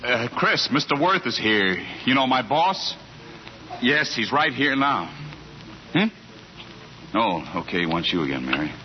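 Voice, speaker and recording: An actor playing a smooth-talking, conniving newspaperman in a 1940s radio comedy drama. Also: medium pitch, big pitch swings, a little slow, long pauses. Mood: breezy, improvising. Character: smooth-talking conniving foreign correspondent, genial liar. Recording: dull 1940s radio broadcast.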